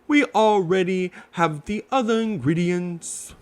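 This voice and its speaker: A man's voice: high-pitched, unhurried, voice pushed up. A man voicing a mild, simple, earnest toy bear in a children's storybook. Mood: mild, puzzled. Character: gentle, slow-witted, earnest toy bear.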